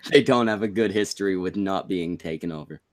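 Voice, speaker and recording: A lower-pitched man hosting a casual podcast from Canada, joking and crude in his banter. His voice jumps into high squeaks when he gets worked up. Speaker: podcast host.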